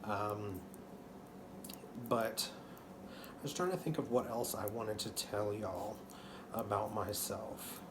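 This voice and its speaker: A man in his thirties from Texas with a faint Texan accent and a slightly hoarse voice, chatting casually to camera at home while knitting, full of ums and restarts. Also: fairly low pitch, takes it slowly, long pauses, noisy background. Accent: Texan, mostly masked. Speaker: knitting channel host. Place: living room couch at home.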